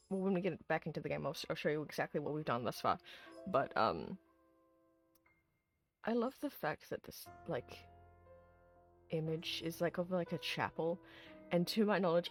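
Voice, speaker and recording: A tired, hoarse streamer who chats casually with viewers while replaying a horror visual novel, rambling with lots of ums and restarts. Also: mid-range voice. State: hoarse, sleepy. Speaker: streamer host.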